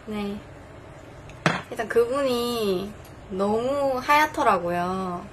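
A woman's voice: fairly high pitch, extremely slow, background noise. A young woman in her twenties with a Busan accent, chatting casually as a beauty vlogger in her bedroom, laughing often. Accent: Busan Korean. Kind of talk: casual makeup chat. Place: bedroom, indoors.

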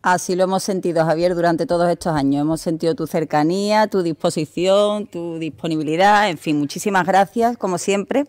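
A woman's voice: on the low side, fairly quick, few pauses, darkish tone, dry recording. A woman hosting an event, thanking the speaker with effusive praise from a lectern. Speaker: event host. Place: event stage, indoors.

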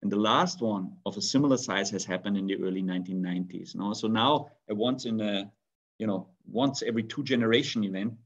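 A man's voice: on the low side, creaky. Austrian man on a muffled video call. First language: German. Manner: thoughtful, many restarts and fillers. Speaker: guest speaker. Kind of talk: webinar talk. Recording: dull lo-fi video call audio.

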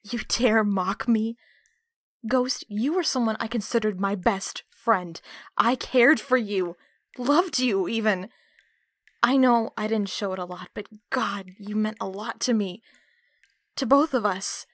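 A woman playing a betrayed, defiant captive in an audio drama, speaking with hurt, accusing, sharp-tongued emotion. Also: mid-range voice, measured pace, no room sound. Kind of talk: audio roleplay acting.

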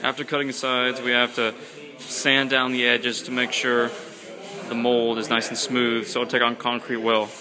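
A man's voice: mid-range voice, completely flat delivery, moderate pace, a loud crowd. A young man, an engineering student, giving offhand, joking commentary while the class works on a project. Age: young adult. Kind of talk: casual on-camera commentary.

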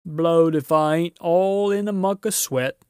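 A big, good-natured giant from a children's storybook, acted out by a man, speaks in a raised, loud voice. He is humble and deferential, with homely rustic phrasing. The sound is close and dry. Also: fairly high pitch, measured pace, clean tone, dry recording, voice pushed up, lightly acted. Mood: flustered, apologetic. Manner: deferential, folksy, rustic speech.

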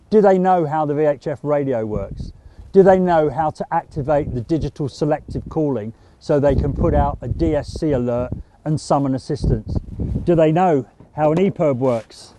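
A middle-aged man from the UK giving practical sailing safety advice in a steady, methodical way, with the odd small restart. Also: a little high, a little hiss or hum. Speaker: sailing instructor. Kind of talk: instructional talk to camera.

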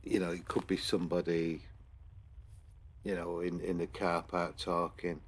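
A man's voice: deep voice, little pitch movement, unhurried. A man, a paranormal investigator, rambling with many fillers and restarts as he reviews security camera footage at his computer. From England. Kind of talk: casual screen-recorded review commentary.

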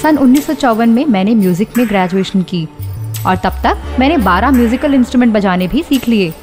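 A woman's voice: on the high side, animated, fairly quick, a little mellow, soft background music, natural voice. A woman playing a confident blind woman who tells her life story in scripted radio narration. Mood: earnest, confident.

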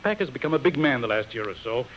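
A man's voice: mid-range voice, sing-song, fast talker, a bit bright. A man playing a polite, harried businessman husband in a 1930s radio drama. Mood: earnest. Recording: muffled, hissy old radio recording.

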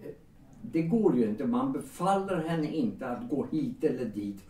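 An actor playing a deferential, easily flustered café employee in a period audio drama, with a formal manner. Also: male voice, mid-range voice, animated, dark tone, lightly acted. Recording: echoey, distant microphone.

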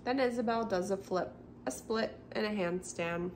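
A middle-aged woman hosting a storytime, reading a children's picture book aloud with expressive, simple storyteller phrasing for young children. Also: medium pitch, normal speed. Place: small indoor room by a brick wall, seated. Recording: clearly noisy home recording.

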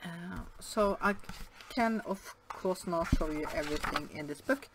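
A Norwegian woman, English not her first language, speaking in a casual vlog with many pauses, fillers and restarts. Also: fairly low pitch, background noise. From Norway. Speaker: yarn vlogger. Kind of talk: casual show-and-tell vlog. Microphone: camera above the table, hands only.

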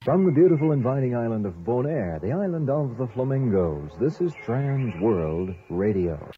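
A man's voice: medium pitch, sing-song, moderate pace, a little hiss or hum. A man reading scripted station identifications as a radio announcer. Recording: muffled archival shortwave radio recording.

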